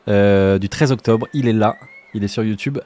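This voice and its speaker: Low-voiced man chatting on a livestream. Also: moderate pace. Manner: informal, slangy, animated storytelling. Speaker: music producer and Twitch streamer. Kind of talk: casual livestream chat. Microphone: streaming microphone.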